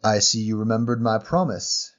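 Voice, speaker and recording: A man voicing a kindly, amused, very old male fruit seller with a gentle, teasing, unhurried manner. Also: lowish voice, dull audio, natural voice. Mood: gently teasing. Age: elderly. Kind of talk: audio short story character voice.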